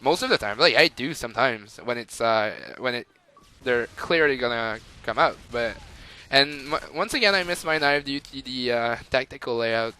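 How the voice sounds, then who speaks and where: A man's voice: mid-range voice, moderate pace, few pauses, pushed and bright, clear, resonant tone. A young man with a Quebec French accent, rambling through casual gameplay commentary with lots of fillers. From Montreal, Canada. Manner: rambling and chatty, with frequent fillers and laughs. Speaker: gamer.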